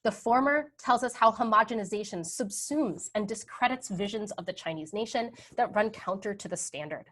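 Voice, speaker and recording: A woman in her thirties giving an academic history lecture over slides, relaxed and conversational, often checking in with "right?". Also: medium pitch, normal speed, few pauses. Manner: conversational, frequent "um" and "right?" tags.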